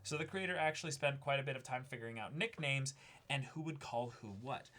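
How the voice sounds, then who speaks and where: A man's voice: medium pitch, brisk, bright, forceful tone. A young man co-hosting a show, reading fan letters aloud and breaking off with enthusiastic, chatty asides. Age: young adult. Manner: enthusiastic, interjects reactions while reading. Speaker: co-host of a reaction and unboxing show. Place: small indoor room, seated beside co-host.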